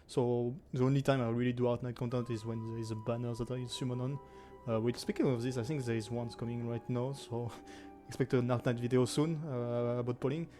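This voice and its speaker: A man in his twenties with a strong French accent, chatting casually to his gaming channel's viewers, rambling with lots of fillers and self-corrections. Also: medium pitch. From France. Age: 20s. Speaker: gaming YouTuber. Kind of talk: casual anniversary chat to camera.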